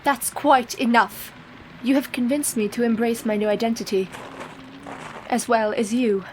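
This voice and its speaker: A woman's voice: fairly high pitch, steady tone, a little slow, close to an everyday voice, poor audio. An actress playing a proper, resolute young wife facing vampires in a radio play, firm and formal in her speech. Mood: defiant. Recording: faint background noise.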